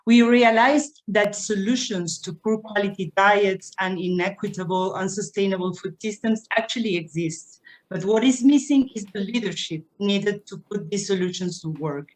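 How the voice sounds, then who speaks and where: A mid-pitched woman from Uruguay, the expert panelist reading her prepared part at an online conference. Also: moderate pace, low-quality recording. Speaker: task team member, sustainable food systems expert. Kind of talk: prepared presentation at an online event.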